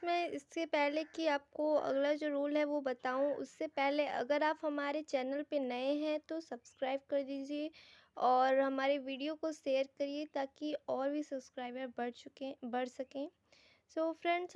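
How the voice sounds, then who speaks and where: A woman's voice: high-pitched, dry recording, dull audio. A woman with an Indian accent giving an informal grammar lesson as a teacher, explaining things directly to her students. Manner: explanatory, addresses viewers directly, mixes Hindi and English.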